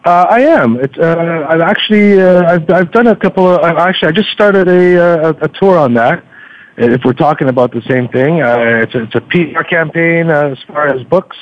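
A man talking as an interview guest in a conversational, halting way, often repeating words mid-sentence. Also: on the high side, no echo. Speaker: podcast guest, book reviewer. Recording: dull, lo-fi radio audio.

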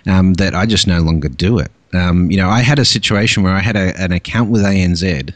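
A man's voice: low-pitched, a little fast, no echo. A man from Australia hosting a podcast, chatting casually with lots of fillers and restarts. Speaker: podcast host. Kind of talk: casual interview chat.

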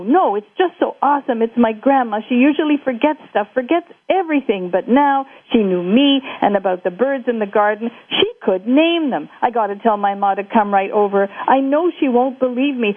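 A woman's voice: on the high side, expressive, slow, voice pushed up. A woman reading a play, voicing an eager young boy who talks with breathless urgency. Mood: excited. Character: excitable young boy visiting grandma. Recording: tinny phone line.

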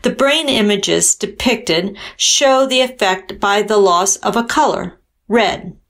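Measured woman artist narrating. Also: medium pitch, fairly bright tone. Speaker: artist presenting own artwork. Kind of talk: scripted explanatory narration.

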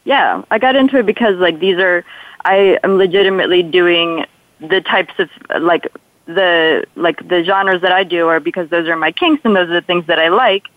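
A woman's voice: lowish voice, moderate pace, no echo. A young adult woman with a slight Polish accent, chatting casually and ramblingly as a guest in a radio interview, with lots of filler phrases. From Poland. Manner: chatty, rambling, peppered with "like" and "you know". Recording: tinny, phone-like radio call-in line.

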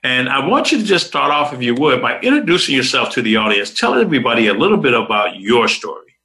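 A man's voice: medium pitch, big pitch swings, fast, bright, forceful tone. A man hosting a motivational talk show, speaking in an upbeat, preacher-like way.

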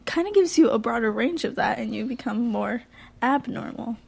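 A woman's voice: a little high, lively intonation, normal speed, creaky, breathy edge. A teenage girl co-hosting a kids' talk show, bubbly and rambling, with lots of "like". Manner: bubbly, rambling, says "like" a lot. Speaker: co-host. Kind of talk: casual chat.

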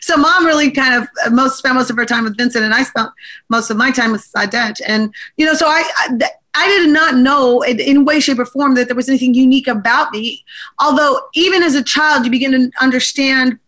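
Bright, chatty woman guest. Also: high voice, fairly quick. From born abroad to diplomat parents. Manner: chatty, laughs often, many restarts and fillers. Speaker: congressional candidate guest. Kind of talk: conversational interview answers.

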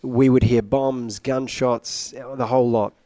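A young man with a British-sounding accent, telling his story casually and haltingly as a guest, with some joking. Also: medium pitch, steady tone, mellow, dry recording. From Iraq, later Australia. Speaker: interview guest, singer. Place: at a conference.

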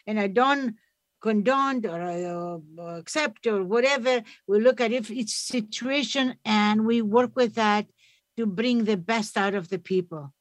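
A woman's voice: mid-range voice, measured pace, no room sound. Greek-accented woman, dry close sound. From Greece. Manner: earnest, restarts often, non-native grammar. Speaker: hypnotherapist and author, guest. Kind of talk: conversational interview.

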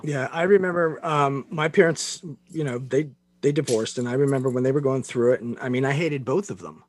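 A man interviewing in a casual, conversational way, halting and often padding his sentences with "you know". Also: medium pitch, a little hiss or hum. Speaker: interviewer, host.

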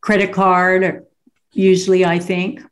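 A woman's voice: lowish voice, monotone, a little slow. A woman hosting a talk show, gushing and rambling enthusiastically about what she loves. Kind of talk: casual interview chat.

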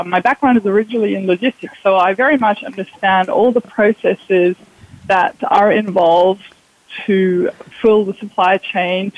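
A man's voice: sits high, gravelly, no room sound, muffled recording. A man from Germany, a consultant guest explaining things on a radio show with frequent ums. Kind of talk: radio interview answers.

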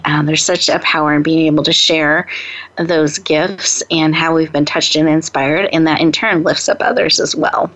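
A woman's voice: fairly low pitch, fairly bright tone, poor audio. Encouraging woman radio host. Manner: encouraging, conversational with fillers. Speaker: radio talk show host. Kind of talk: hosting a talk radio show.

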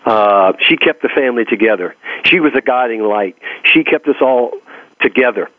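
A man's voice: mid-range voice, animated, normal speed, no echo. Emphatic Texan motivational man, muffled dry sound. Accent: Texas. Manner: emphatic, repeating phrases for effect. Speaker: motivational speaker and coach being interviewed. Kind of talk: motivational talk and personal storytelling in an interview.

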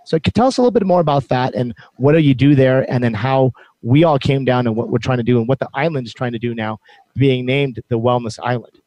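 A man's voice: mid-range voice, fast talker, darkish tone, no room sound, poor audio. Enthusiastic male host, dry close sound. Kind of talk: interview chat.

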